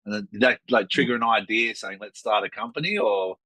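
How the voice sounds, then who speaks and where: A man's voice: fairly low pitch, a little fast, sharp tone, no echo, poor audio. A man hosting a casual interview, upbeat and chatty. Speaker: host.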